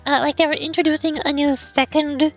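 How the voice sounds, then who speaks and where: A young woman streaming a game in casual, rambling chat, her voice hoarse and fading. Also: sits high, level tone, a little fast, soft background music. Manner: rambling, self-pitying banter with fillers. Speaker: VTuber streamer.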